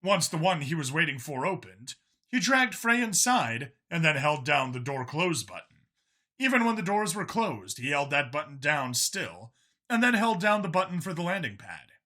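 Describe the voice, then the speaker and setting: A man's voice: fairly high pitch, sing-song, moderate pace, bright, forceful tone. A man reading a science fiction story aloud as scripted narration. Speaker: audiobook narrator.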